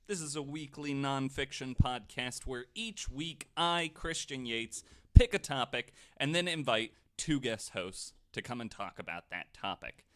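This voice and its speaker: A man's voice: mid-range voice, lively intonation, measured pace, a bit bright. A man hosting a podcast, telling history stories in casual, jokey chat. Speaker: podcast host.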